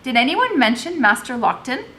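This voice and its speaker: A woman voicing a stern, frightened colonial gentlewoman in an emphatic, commanding read-aloud of a historical novel. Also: high-pitched, sing-song, moderate pace, voice pushed up, full character voice. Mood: sharp, bitter.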